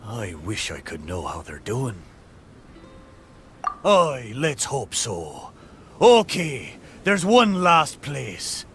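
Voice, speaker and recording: An actor voicing the boisterous, emotional spirit of an old ship in a video game, with theatrical speech. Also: male voice, mid-range voice, huge pitch swings, very slow, a bit bright, slight background noise, exaggerated voice. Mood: resigned, relieved. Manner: theatrical, exclamatory pirate talk. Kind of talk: dramatic video game voice acting.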